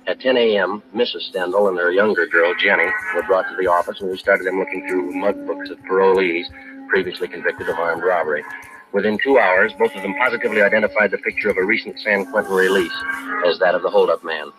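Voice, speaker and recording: A man playing a stoic American police detective, narrating in a clipped, deadpan way in 1950s radio drama style. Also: low voice, fast, natural voice, low-quality recording. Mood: matter-of-fact. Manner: matter-of-fact, deadpan, clipped. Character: stoic, businesslike male police detective sergeant. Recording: vintage 1950s radio broadcast with music.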